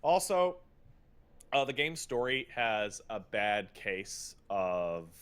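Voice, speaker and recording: A man's voice: fairly low pitch, sing-song, slow, a bit bright, clean tone. A man co-hosting a podcast, talking casually and with opinions about games, stressing his points emphatically. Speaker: podcast co-host.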